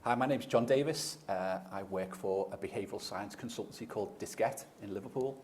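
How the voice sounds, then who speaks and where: A man's voice: lowish voice, fairly quick, a little mellow, a little hiss or hum. A man speaking as a panelist, explaining his points in a fluent, conversational way. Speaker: panelist, behavioural scientist. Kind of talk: panel discussion.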